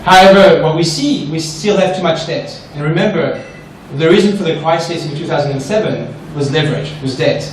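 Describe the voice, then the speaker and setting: A man with a French accent gives an animated investment seminar to an audience, often checking in with "right?". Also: on the high side, a little fast, few pauses, slight background noise. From France. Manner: animated, asks the audience questions, frequent "right?". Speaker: investment specialist presenter. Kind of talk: seminar presentation. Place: large echoey room with an audience. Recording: echoey room from far off.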